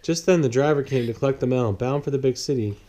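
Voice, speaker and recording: A man's voice: mid-range voice, fast talker, dark tone. A man reading a children's picture book aloud as a bedtime story.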